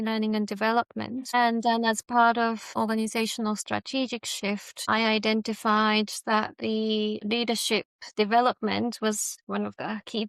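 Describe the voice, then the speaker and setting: A woman's voice: a little high, vocal fry, fairly bright tone, clean tone, dry recording. A woman from Japan who speaks English as a second language, a leadership coach chatting casually and laughing easily. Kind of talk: casual conversation.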